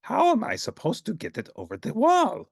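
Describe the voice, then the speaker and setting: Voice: medium pitch, huge pitch swings, moderate pace, creaky, husky, dry recording, studio quality, pitched above the performer's own voice, exaggerated voice. A performer voicing an earnest, dramatic furry monster messenger in a children's storybook, with a theatrical, plaintive and eager way of talking. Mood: weary, pleading.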